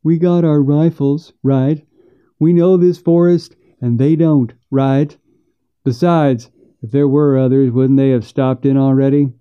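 A man's voice: medium pitch, smooth, muffled recording, lightly acted. A man voicing an irritable, superstitious rural backwoods man in an audiobook, folksy and grunting. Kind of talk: audiobook character voice.

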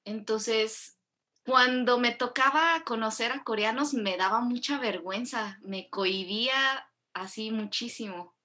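A woman's voice: fairly high pitch, measured pace, bright, forceful tone. A woman from Mexico, hosting a casual interview and rambling animatedly with plenty of self-corrections. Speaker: host.

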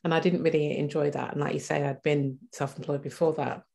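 A woman in her forties shares a personal story in conversation. Her voice is quite low and often creaky. She speaks reflectively, stopping and restarting as she gathers her thoughts. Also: little pitch movement, fairly quick, darkish tone. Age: 40s. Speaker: interview guest.

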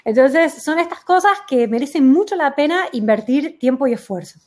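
A woman working as an SEO consultant, explaining emphatically in a casual conversation and reaching for quick everyday examples. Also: high voice, lively intonation.

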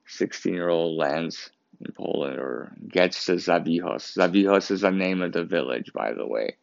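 A man's voice: sits low, moderate pace, gravelly, dry recording, dull audio. A young Canadian man who wears braces, hosting his own podcast and rambling through a casual story. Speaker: podcast host. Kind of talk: casual solo podcast monologue.

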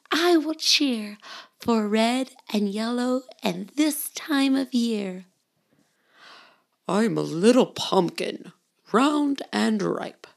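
A woman's voice: on the high side, vocal fry, husky, exaggerated voice. Woman as a cheerful singsong little pumpkin. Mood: proud, cheerful. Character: cheerful little pumpkin, young, proud and eager. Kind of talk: children's rhyme storybook reading.

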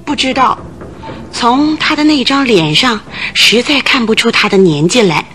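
A woman's voice: a little high, sing-song, moderate pace, fairly bright tone, soft background music. An actress in a Chinese radio drama playing a thin, fidgety, gossipy landlady of about forty, agitated and full of exclamations. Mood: explaining, chatty.

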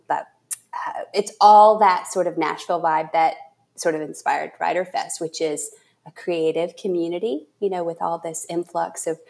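A woman's voice: lowish voice, darkish tone. A woman from South Carolina hosting a chatty podcast interview from a studio, with an effusive, enthusiastic way of talking. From Charleston area, South Carolina. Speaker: podcast host.

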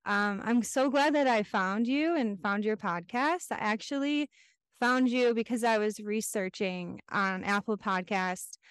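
A woman hosting a casual podcast interview, gushing and chatty with soft laughs, recorded outdoors. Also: mid-range voice, measured pace, clear, resonant tone, no echo, studio quality. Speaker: podcast host.

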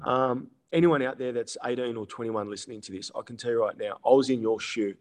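A man's voice: mid-range voice, a little fast. This is a man in his late thirties chatting on a podcast. He rambles through stories with lots of ums and easy laughter, in a medium voice. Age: 30s. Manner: laid-back storytelling with frequent ums and laughs. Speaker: guest. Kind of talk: casual podcast chat.

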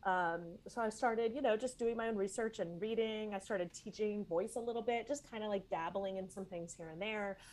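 A woman's voice: mid-range voice, normal speed, hardly any pauses, darkish tone. A woman in her forties from East Texas, a vocal coach and former stage performer, telling her story in a chatty, candid way with many fillers. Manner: chatty, lots of fillers and restarts. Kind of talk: casual interview storytelling.

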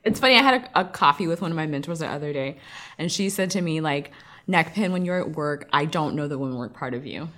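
Woman w a low voice chatting casually as a guest, open and candid. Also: animated, quick pace, fairly bright tone. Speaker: podcast guest. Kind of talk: casual group conversation.

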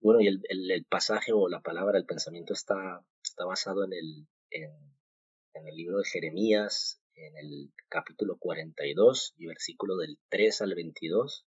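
A man from Colombia in his thirties hosting a podcast, sharing a devotional thought in a halting way, with many fillers and restarts. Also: deep voice, a little mellow, slightly rough, dry recording. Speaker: podcast host. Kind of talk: casual devotional talk. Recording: muffled, dull home recording.

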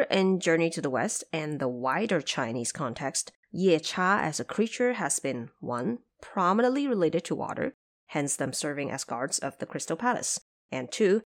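A woman hosting a podcast, explaining things in an articulate, measured, semi-scripted narration. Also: sits low, very animated. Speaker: podcast host and translator.

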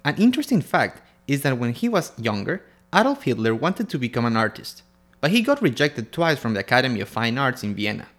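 A man's voice: mid-range voice, very animated, fairly quick, a bit bright, soft background music. A man from Ecuador co-hosting a history podcast, reading scripted narration in turns with his co-host.

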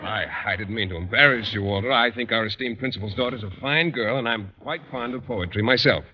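A man's voice: fairly low pitch, brisk, noisy background, natural voice. A man playing an earnest, bashful, mildly formal biology teacher in an old radio sitcom. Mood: bashful, kindly. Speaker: actor in radio comedy. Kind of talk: 1940s-50s radio sitcom acting. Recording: dull old radio recording.

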